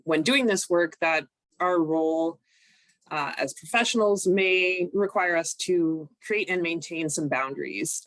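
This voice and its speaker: A woman, recovering from a cold, presenting an online training session in a measured, explanatory way with frequent ums. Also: low-pitched, no room sound, poor audio. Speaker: workshop presenter.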